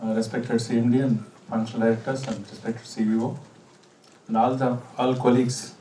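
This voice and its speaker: Distant echoey audio, male presenter, Indian accent, medium pitch, explanatory, repetitive emphasis. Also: flat delivery, brisk, dark tone. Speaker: company legal executive presenting. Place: indoor function hall with PA. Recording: echoey hall, distant, noisy background.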